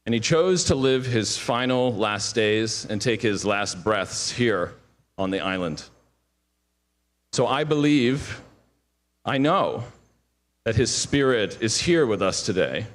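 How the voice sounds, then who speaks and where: A man's voice: mid-range voice, expressive, takes it slowly. A man giving an earnest, heartfelt speech at a ceremony.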